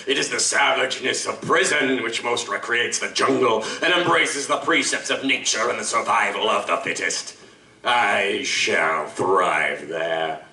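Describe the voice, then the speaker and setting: Savage, gloating male fiend for radio-style drama: gravelly, grandiloquent menace, forceful edge, recorded in a roomy live space. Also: medium pitch. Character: savage, articulate monstrous male killer, gloating and contemptuous. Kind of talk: live audio drama acting. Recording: roomy live stage, distant mic.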